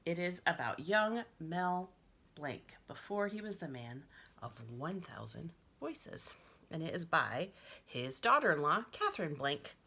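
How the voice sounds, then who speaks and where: Bright, very animated woman librarian. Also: sits low, a little slow. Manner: expressive, acts out sounds and lines. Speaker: school librarian reading to children. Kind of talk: friendly casual talk and animated picture-book read-aloud. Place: basement at home.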